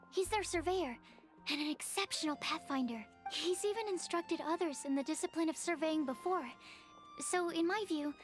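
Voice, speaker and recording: A polite young woman scholar from a video game. The voice is very high and girlish, explaining things earnestly with slight hesitance. Faint music plays underneath. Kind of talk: scripted video game dialogue. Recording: music behind the voice.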